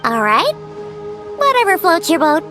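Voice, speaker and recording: A woman voicing a tiny, chirpy, childlike fairy companion with a squeaky voice in an anime video game. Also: huge pitch swings, unhurried, over music, heavily acted. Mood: puzzled. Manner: cute, refers to self in third person.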